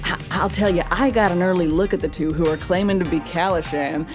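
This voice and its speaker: A woman's voice: on the low side, a little fast, lightly acted. A woman playing a breezy sports broadcast co-host in a radio drama, announcing in a chatty, informal way. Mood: breezy excitement. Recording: music behind the voice.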